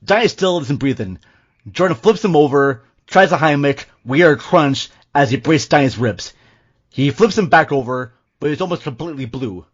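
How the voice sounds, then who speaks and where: Animated, higher-pitched male narrator. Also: moderate pace, dull audio. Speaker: podcast host and narrator. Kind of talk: dramatic scripted narration of screenplay.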